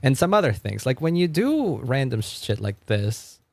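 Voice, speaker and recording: A man's voice: medium pitch, very animated, moderate pace, a little mellow, slight background noise. A man from Argentina, a Spanish speaker, chatting casually on a live stream. He rambles, repeats words as he stammers, and laughs often. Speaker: music streamer.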